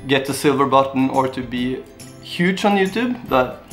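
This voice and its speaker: A man's voice: medium pitch, animated, moderate pace, soft background music. A young man from Norway with a Norwegian accent, a YouTube vlogger answering viewer questions in a casual, earnest, rambling way. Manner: earnest, rambling, simple phrasing. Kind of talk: casual Q&A talk to camera.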